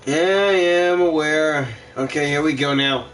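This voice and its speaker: Gaming host, male; high, bright, lively voice with an unhurried pace, excitable with sudden shouts, light music under. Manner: excitable, with sudden shouts and laughs at good plays; reads card text aloud in a plain, measured way. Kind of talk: casual gameplay commentary.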